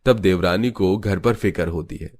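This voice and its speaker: Very deep male story narrator. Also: moderate pace, darkish tone.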